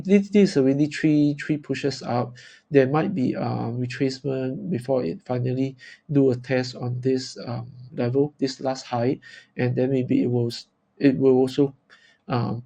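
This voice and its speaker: A man from Singapore with a Singaporean accent gives informal commentary as a trader, in a halting, repetitive, stop-start way. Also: medium pitch, creaky, warm tone. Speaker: forex trader presenting market analysis. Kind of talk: informal live chart commentary.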